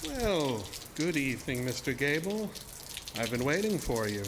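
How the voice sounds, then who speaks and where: A man's voice: medium pitch, sing-song, unhurried, background noise. A man playing a sinister, seductive illusionist showman in a horror audio drama, speaking in a theatrical, formal and insinuating way. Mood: smug, courteous. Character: sinister, seductive showman-illusionist, deceptively ageless male villain.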